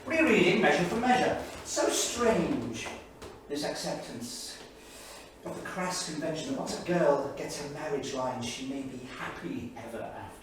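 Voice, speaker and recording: A male actor plays an earnest 1930s diarist on stage, with a fairly high, very animated voice. His delivery is emphatic and deliberate. It sounds distant in a very reverberant room. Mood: weary, reflective. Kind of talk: theatrical reading of a journal. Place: small theatre studio. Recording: very echoey theatre, distant mic, faint noise.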